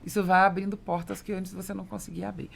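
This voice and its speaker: A woman's voice: medium pitch, level tone, fast talker, gravelly, crowd noise. A Brazilian woman hosting a business podcast in a motivational, emphatic conversational way. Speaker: podcast host, business owner.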